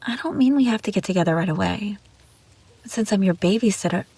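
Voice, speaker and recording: A woman's voice: medium pitch, brisk, warm tone, husky, dry recording. A woman playing an obsessive, scheming young babysitter in an intimate audio roleplay, pleading and defensive. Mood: hurt, hushed. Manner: pleading, defensive, hesitant then insistent. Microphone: close, dry microphone.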